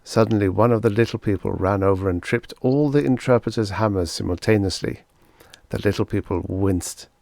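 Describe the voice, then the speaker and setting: A man's voice: on the low side, fairly quick, gravelly, a little mellow, dry recording. A man reading a scripted short story aloud as an audiobook narrator.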